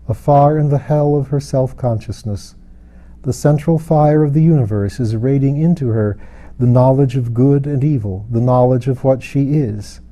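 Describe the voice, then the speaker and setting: A man voicing a grave, compassionate wise woman in a fantasy audiobook, speaking gently, slowly and with calm patience. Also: medium pitch, natural voice. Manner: gentle, slow, solemn. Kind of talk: dramatised audiobook reading of a fantasy novel.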